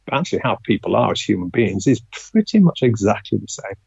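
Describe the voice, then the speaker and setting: A man's voice: fairly low pitch, big pitch swings, brisk, creaky, darkish tone, husky, no echo, dull audio. A man with a Yorkshire accent, a guest on an interview show, talking about his life in a reflective way with many hesitations. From England, Leeds. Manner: reflective, frequent filler hesitations. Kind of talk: casual interview talk.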